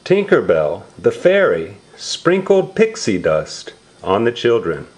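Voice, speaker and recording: A man reading a picture book aloud to young children, explaining it in simple, repeated, drawn-out words. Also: on the low side, wildly swooping pitch, a little mellow. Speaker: storybook reader for young relatives.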